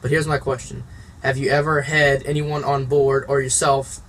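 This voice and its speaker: A man's voice: medium pitch, flat delivery, normal speed, fairly bright tone, slight background noise, low-quality recording. A man speaking casually as a viewer recording a video question from inside an old bus.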